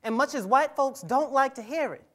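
A skeptical, aggrieved teenage boy in a stage drama, played by a young man. His voice sits very high for a male, and he talks in a colloquial, pointed way that keeps questioning and challenging. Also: animated, normal speed, a bit bright, full character voice. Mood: bitter, reflective. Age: young adult. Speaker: actor in a staged reading. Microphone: lapel mic on a stage.

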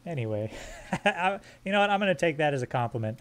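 A man streaming a game live, joking crudely with his chat in a fairly monotone voice while sorting his squad's gear. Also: fairly high pitch, fast talker. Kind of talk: live gameplay commentary.

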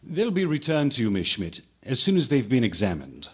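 An actor playing a cool, authoritative military police major with a clipped, dry manner in a radio drama. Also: male voice, medium pitch, wildly swooping pitch, a little fast, husky. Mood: stern, dry.

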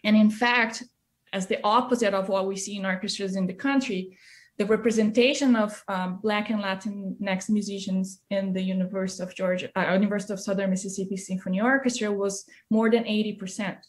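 A woman in her thirties with a Brazilian accent speaks as a guest on a video call. Her voice sits at a middle pitch. She tells personal stories in a conversational way. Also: fairly even intonation, fairly quick. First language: Portuguese. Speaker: interview guest, music educator. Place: small indoor room, video call. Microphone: computer mic on video call.

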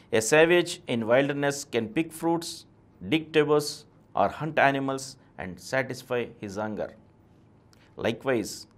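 A middle-aged man with an Indian accent, reading a scripted text aloud in a formal, deliberate way, phrase by phrase. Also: mid-range voice. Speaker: presenter reading answers aloud. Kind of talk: scripted reading of a question-and-answer essay.

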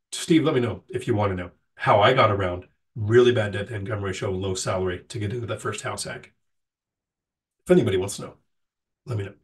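A man's voice: on the low side, distant mic. A middle-aged man with a sick, nasal voice, casually answering live chat questions as host, with frequent ums and restarts.

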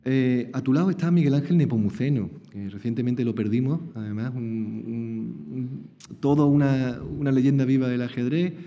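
A man's voice: medium pitch, moderate pace, mellow. A middle-aged man hosting a live chess talk in a small lecture hall, speaking into a table mic, chatty, with many tag questions and repeated words. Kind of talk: live public interview. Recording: dull reverberant hall sound.

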